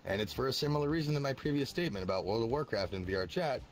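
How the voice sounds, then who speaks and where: A man in his twenties, a streamer guest in a casual podcast chat, talking in an animated way and swearing now and then. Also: racing. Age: 20s. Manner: animated, swearing, jumps into high mock voices.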